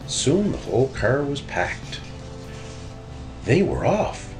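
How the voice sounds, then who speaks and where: A man's voice: medium pitch, big pitch swings, takes it slowly. A man narrating a children's picture book as a storybook reader. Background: music.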